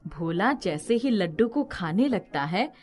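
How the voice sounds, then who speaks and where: A woman's voice: medium pitch, expressive, a little slow, a little mellow. A woman reading scripted moral-story narration as a storyteller.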